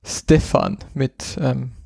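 Gravelly man in a casual tech chat. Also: mid-range voice, very slow, no room sound, studio quality. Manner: relaxed, many fillers, thinks aloud. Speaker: podcast co-host.

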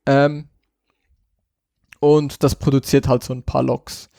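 Podcast co-host, male, mid pitch, gravelly edge, dry close sound, loose and rambling. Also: slow pace, no echo. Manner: relaxed, many fillers, thinks aloud. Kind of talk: casual tech chat.

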